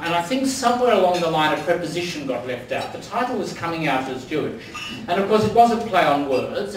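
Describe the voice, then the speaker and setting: A man's voice: a little high, slight background noise. Older aussie guy giving a dinner speech, wry storyteller, heard from far off in a really echoey room. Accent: Australian. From Australia. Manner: wry, anecdotal, with many ums. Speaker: dinner speaker. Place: large dining room. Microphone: distant mic.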